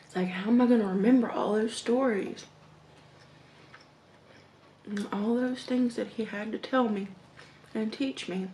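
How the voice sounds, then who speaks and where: A woman in her thirties with a Southern American accent, slowly telling stories while eating at her dining table at home. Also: fairly high pitch, fairly even intonation, roomy sound, slight background noise, low-quality recording. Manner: slow, reflective, with hums and soft laughs between bites. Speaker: mukbang vlogger. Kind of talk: casual storytelling while eating. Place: home dining room, indoors.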